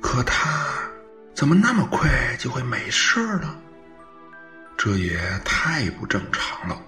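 A man's voice: fairly low pitch, lively intonation, normal speed, sharp tone, soft background music. Man acting a tense, flustered grown son. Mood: shaken, bewildered. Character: nervous, evasive dutiful son hiding a strange secret. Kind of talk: radio drama acting.

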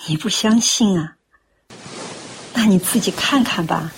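一位女演员在广播剧里饰演和善、沉稳、宽容的中年阿姨，声音带着气声。. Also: female voice, medium pitch, unhurried, slight background noise, close to an everyday voice, rough recording. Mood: calm, caring. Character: kindly, calm, forgiving middle-aged auntie. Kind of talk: audio drama acting.

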